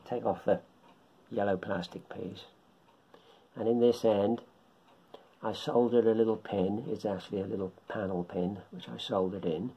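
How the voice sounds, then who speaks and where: Middle-aged man, slightly low pitch, husky, informal hobby tutorial, halting short phrases. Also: fairly even intonation, dull audio. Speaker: hobbyist radio instructor. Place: home living room.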